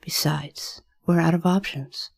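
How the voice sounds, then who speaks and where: A woman's voice: deep voice, steady tone, unhurried, creaky, a little hiss or hum. A woman playing a weary, resolute celestial spellcaster in a fantasy audio story, speaking in a terse, dry, determined way.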